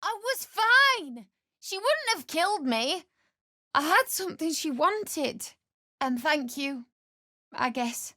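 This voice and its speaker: An actress voicing a frightened, grieving young wife in a fantasy video game, pleading and tearful, breaking into anguished cries. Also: female voice, high-pitched, very animated, slow, pushed and bright, dry recording, clean studio sound, full character voice. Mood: strained.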